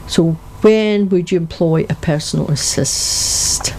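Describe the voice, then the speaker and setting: This is an older woman with a strong Scottish accent, hosting a relaxed community radio chat. Her voice sits a little low for a woman, and she laughs easily between questions. There's a faint hum of noise behind her. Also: slow pace, low-quality recording. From Scotland. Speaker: radio show host. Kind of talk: casual radio interview.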